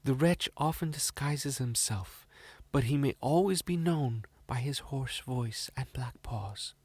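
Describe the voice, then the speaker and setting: A man's voice: medium pitch, measured pace, a little mellow, breathy edge, no echo, voice pushed up. A man voicing an anxious, loving mother goat in a fairy tale, speaking with careful tenderness. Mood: warning.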